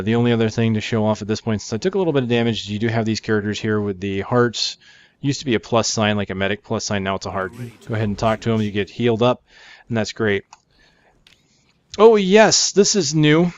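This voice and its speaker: A man's voice: fairly low pitch, a little mellow, clear, resonant tone, dry recording. Dry-sounding man doing casual game commentary. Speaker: gaming video host. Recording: dry, slightly dull microphone.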